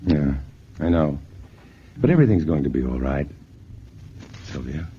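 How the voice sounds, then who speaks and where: An actor playing a steady, reassuring male scientist on the run from invaders in a 1950s radio drama, on an old broadcast recording. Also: a bass voice, moderate pace, highs cut off, a little hiss or hum. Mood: earnest, reassuring.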